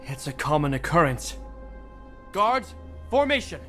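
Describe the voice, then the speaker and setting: A man's voice: a little high, very animated, unhurried, a bit bright, soft background music. A man voice-acting a dutiful, formal captain of the guard in a video game. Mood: commanding. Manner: formal, commanding.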